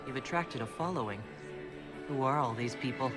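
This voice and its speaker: A man's voice: mid-range voice, normal speed, close to an everyday voice. A man voicing a male leader in a video game, asking plain, direct questions. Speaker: player character leader. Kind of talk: video game cutscene dialogue. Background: orchestral music.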